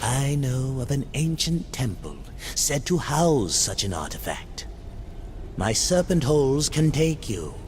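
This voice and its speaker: An actor playing a sly, courtly demon merchant in a video game, speaking in formal, flattering, ornate phrases. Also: male voice, mid-range voice, a little hiss or hum. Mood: scheming, measured. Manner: unctuous, formal, deliberate, ornate speech. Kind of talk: video game cutscene acting.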